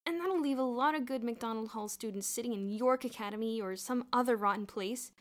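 A woman's voice: mid-range voice, animated, moderate pace, lightly acted. A woman voicing a brash, scheming schoolboy in an audiobook story, eager, emphatic and insistent. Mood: bitter.

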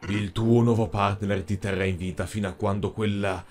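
A man voicing a cruel, mocking dark tentacled overlord in an animated fan dub, speaking with menacing mock politeness. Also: fairly low pitch, moderate pace, lightly acted. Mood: mocking, threatening. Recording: music behind the voice.

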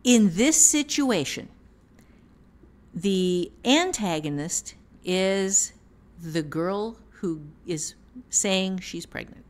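A woman's voice: medium pitch, animated, extremely slow. A woman author and teacher explaining things step by step with examples in casual podcast talk.

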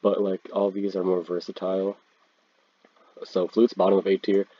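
A man's voice: low-pitched, monotone, normal speed, slightly rough. A young man with a congested cold, talking in a laid-back, slangy way while commenting over a screen recording. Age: teens. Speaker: video creator ranking instruments. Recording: dull, lo-fi, dry sound.

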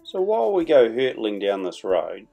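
A man's voice: mid-range voice, wildly swooping pitch, moderate pace, vocal fry, warm tone. Middle-aged Kiwi man telling a story. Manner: chatty, colloquial, playful. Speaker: vlog host. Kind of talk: casual vlog storytelling voice-over. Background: background music.

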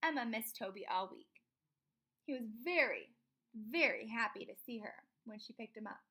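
A woman in her twenties reads a children's storybook aloud expressively, pausing for emphasis and chatting with young listeners. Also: on the high side. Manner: animated, expressive, emphatic pauses on key words. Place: small room at home.

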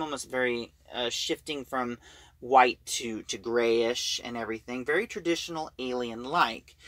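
A man giving an informal story recap as a reviewer, talking in a halting, conversational way. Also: medium pitch, a bit bright, no echo. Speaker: audio drama reviewer.

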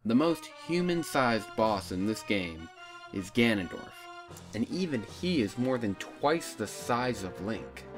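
A man's voice: fairly low pitch, sing-song, unhurried. A man giving a casual, scripted opinion narration as a video game reviewer. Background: background music.

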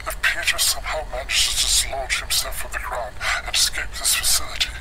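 An actor playing a grim scientist survivor, narrating research logs for a game in a hushed, breathy, measured and dramatic way. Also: male voice, medium pitch, fairly even intonation, background noise, close to an everyday voice. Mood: grave, clinical. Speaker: narrator of in-game cassette recordings.

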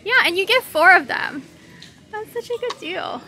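A chatty woman from Hong Kong hosting a casual shopping vlog with an animated manner. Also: very high voice, normal speed, faint music behind, poor audio. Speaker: vlog host. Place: large retail store. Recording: busy store background noise.

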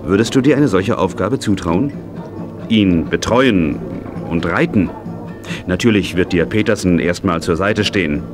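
An actor playing a kindly, hearty estate owner in a children's radio play, in standard German. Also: male voice, low voice, measured pace, music in the background. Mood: curious, bantering.

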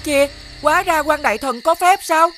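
Earnest child prince, high and dutiful, animated folk tale. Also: female voice, fairly quick. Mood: curious. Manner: polite, childlike. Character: earnest young boy prince, polite and dutiful. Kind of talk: animated fairy tale dubbing. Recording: background music under the voice.

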